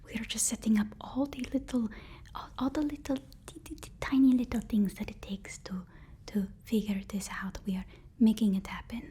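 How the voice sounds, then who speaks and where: A woman playing a devoted, anxious assistant to a supervillain in an ASMR roleplay, whispering in a halting, soothing, deferential way. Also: on the high side, steady tone, dark tone, breathy edge. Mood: nervous reassurance.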